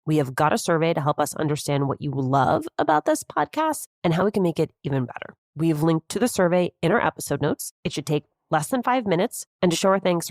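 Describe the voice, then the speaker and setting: A woman speaking as a podcast host and interviewer, in a clearly phrased presenting style on a close microphone. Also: low voice, a little mellow, no echo. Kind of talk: scripted podcast narration and interviewing.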